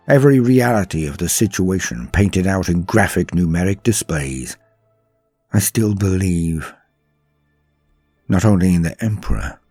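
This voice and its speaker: Deep, solemn male storyteller. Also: dark tone, husky, no echo. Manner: solemn, theatrical, deliberate pauses. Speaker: storyteller and narrator. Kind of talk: dramatic scripted story narration. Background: faint music.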